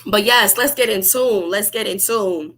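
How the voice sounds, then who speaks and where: A woman's voice: medium pitch, a little slow, fairly bright tone. A woman with a New York accent hosting a casual live chat, hyping up listeners and repeating her phrases. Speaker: host of a live show.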